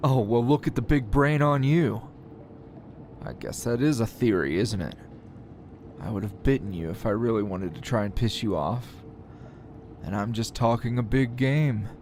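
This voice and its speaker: A man's voice: medium pitch, normal speed, darkish tone, a little hiss or hum, close to an everyday voice. A man playing a defiant, sardonic captured hero and teacher in an ASMR roleplay, taunting his kidnapper in a drawl broken by sighs and chuckles. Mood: dry, mocking.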